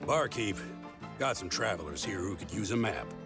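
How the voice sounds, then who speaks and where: Low-voiced, no-nonsense sheriff played by a man. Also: animated, moderate pace, over music, natural voice. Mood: firm, calm. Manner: authoritative, no-nonsense. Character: firm, fair Old West sheriff, unimpressed by troublemakers. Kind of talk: audio drama western acting.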